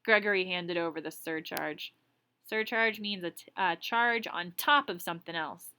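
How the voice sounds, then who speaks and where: Bright-voiced woman reading a story aloud. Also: lowish voice, moderate pace, smooth. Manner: expressive reading, casual explanations. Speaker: teacher reading aloud to students. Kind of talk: story read-aloud with explanatory asides.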